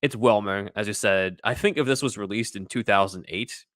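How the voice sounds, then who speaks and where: A man co-hosting a podcast, talking casually and haltingly about superhero films in an informal, conversational way. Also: lowish voice, fairly bright tone, no echo, studio quality. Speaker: podcast co-host.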